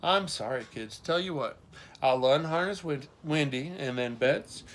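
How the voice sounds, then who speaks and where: A man voicing a kind big brother who teases gently and reassures, in a storybook reading. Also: fairly high pitch, animated, measured pace. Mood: apologetic, brisk. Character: kind, teasing big brother to two younger children.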